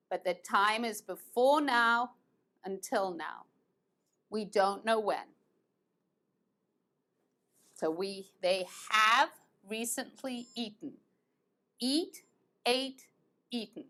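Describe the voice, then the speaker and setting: Woman English teacher, fairly high voice, slow and patient, repeats each verb form clearly. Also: a bit bright. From United States and England. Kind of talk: online grammar lesson.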